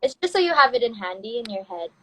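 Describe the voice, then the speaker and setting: High-voiced young woman chatting on a live stream. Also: big pitch swings, fast. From Canada. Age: young adult. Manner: chatty, laughs between words. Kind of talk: casual chat. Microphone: phone on a video live stream.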